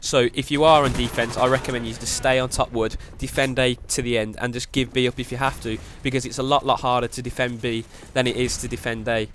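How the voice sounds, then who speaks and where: A man's voice: mid-range voice, a little hiss or hum. A young man with a cold, sounding nasal, commentating over gameplay and giving tips, often saying "very, very". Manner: quick, even delivery with repeated "very, very". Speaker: gaming commentator. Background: game gunfire and explosions.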